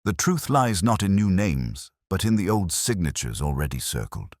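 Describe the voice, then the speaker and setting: A man voicing a calm, authoritative police inspector with measured, deliberate speech in a mystery story. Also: low voice, dry recording, studio quality, pitched above the performer's own voice. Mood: firm.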